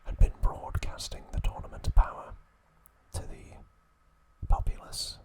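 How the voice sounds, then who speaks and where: A man whispering an ASMR review at home, gentle and relaxed, with a soft unvoiced whisper. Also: unhurried. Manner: gentle, relaxed, with occasional fillers. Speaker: ASMR channel host. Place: indoors at home.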